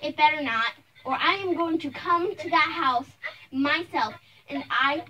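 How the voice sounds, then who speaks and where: A woman playing an indignant, protective mother, scolding in a halting, emphatic way in an improvised amateur role-play. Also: sits high, expressive, bright, forceful tone, exaggerated voice, low-quality recording. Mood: stern, indignant.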